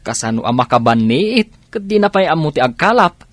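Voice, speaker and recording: Radio drama dimwit: baffled male villager, argumentative, very lively pitch. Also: a little fast, no room sound. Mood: baffled, indignant.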